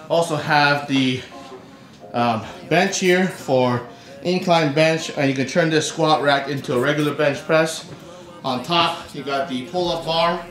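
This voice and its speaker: A middle-aged man talks casually to a camera like a vlogger showing people around. His delivery is halting, feeling for the next word. The sound bounces off the hard walls of a garage, and music plays underneath. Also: on the high side, a bit bright. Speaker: vlogger, channel host. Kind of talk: casual home tour vlog narration. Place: small garage home gym, indoors. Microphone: camera mic, handheld or a few feet away. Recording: echoey garage with music behind.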